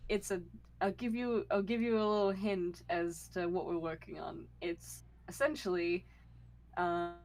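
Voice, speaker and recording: A woman talking casually as a guest on a podcast about her creative work, with a halting, giggly delivery. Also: on the low side, fairly even intonation, darkish tone. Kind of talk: casual chat.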